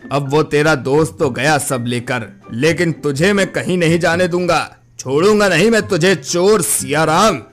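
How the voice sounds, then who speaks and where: A man's voice: fairly high pitch, close to an everyday voice. A man voicing an angry, vindictive village headman in a cartoon moral story, scolding and menacing. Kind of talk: Hindi animated moral-story dialogue.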